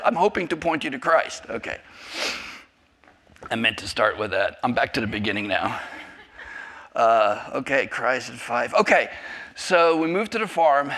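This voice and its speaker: Rambling, bright-voiced man in his fifties. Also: high voice. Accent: American. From South Africa. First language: Afrikaans. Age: 50s. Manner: rambling, self-interrupting, jokey. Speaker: church class speaker. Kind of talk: personal testimony talk.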